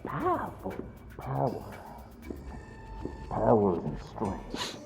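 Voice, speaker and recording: An actor voicing a gruff, muttering thug fixated on power in a fantasy audio drama. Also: mid-range voice, wildly swooping pitch, extremely slow, heavily acted. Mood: greedy muttering. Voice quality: gruff, creaky. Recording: distant voice under loud music.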